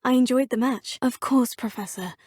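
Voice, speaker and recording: A woman's voice: a little high, moderate pace, a little mellow, husky, no echo. An actress voicing a polite, determined young female student of magic in a video game, speaking in a courteous, composed, formal manner. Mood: calm. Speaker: player character voice. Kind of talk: video game dialogue lines. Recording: dry studio booth.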